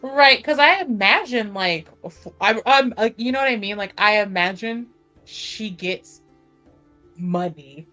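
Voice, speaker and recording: A woman's voice: mid-range voice, animated, a little slow, sharp tone, soft background music. A woman playing a tabletop roleplaying game, chatting informally with some swearing as she thinks aloud and narrates her character.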